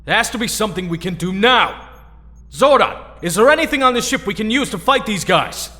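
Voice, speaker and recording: An actor playing a determined, hot-headed young superhero leader in an audio drama. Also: male voice, sits high, animated, brisk, pushed and bright. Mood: urgent. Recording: long reverb.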